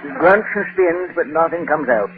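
An actor playing a dry, folksy old rural farmer who talks in a slow drawl with rustic grammar, in 1940s radio comedy style. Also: male voice, on the high side, expressive, close to an everyday voice. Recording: thin tinny old radio recording with hiss.

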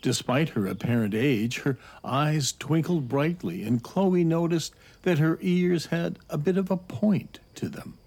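A man narrating a children's fantasy story as a measured storyteller. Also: on the high side, expressive, darkish tone, slightly rough, heavily acted. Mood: measured, descriptive.

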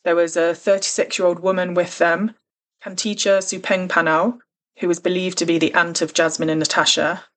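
A woman's voice: on the low side, level tone, moderate pace. Earnest woman hosting a podcast. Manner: earnest, conversational, careful. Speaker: podcast host. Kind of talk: true crime podcast narration.

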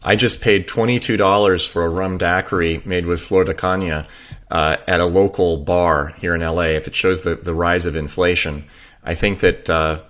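Co-host, male, very deep voice, dry and wry. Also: fairly even intonation, moderate pace, a bit bright. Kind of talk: casual interview talk.

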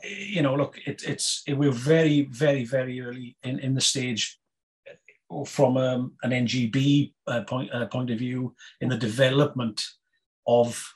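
A Welsh man in his fifties, a guest on a podcast, talking casually with many pauses, fillers and restarts. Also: medium pitch, steady tone. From Wales. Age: 50s. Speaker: guest, snooker player and federation chairman.

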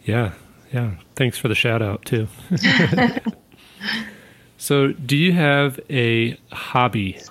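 A man hosting a conversational podcast interview, putting his questions informally and a bit haltingly. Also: mid-range voice, sing-song, a bit bright.

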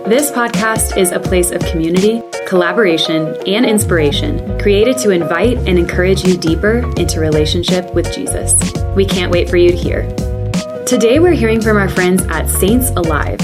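A woman's voice: on the low side, moderate pace. A woman reading a scripted, welcoming podcast introduction as host. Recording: music bed behind voice.

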